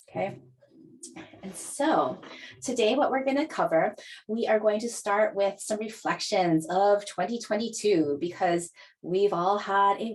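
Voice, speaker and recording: Earnest woman astrologer teaching a masterclass. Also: fairly low pitch. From Hawaii. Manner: upbeat, earnest, talks with filler ums and laughs. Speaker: astrologer and podcast host. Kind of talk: live online masterclass teaching.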